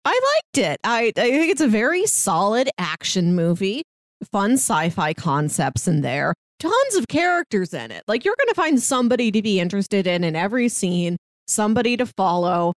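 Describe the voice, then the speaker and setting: A woman's voice: medium pitch, sing-song, moderate pace, no room sound, clean studio sound. A woman co-hosting a podcast, chatting casually about films and telling anecdotes. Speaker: podcast co-host.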